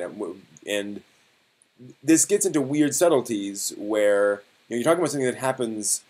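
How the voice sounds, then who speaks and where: A man hosting a live stream, talking casually and conversationally, thinking aloud in a halting way. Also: mid-range voice, darkish tone, slightly rough.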